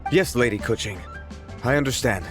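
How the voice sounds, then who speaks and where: A man's voice: mid-range voice, normal speed, lightly acted. A man playing an eager, deferential assistant to an official in a video game, polite and slightly flustered. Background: faint music.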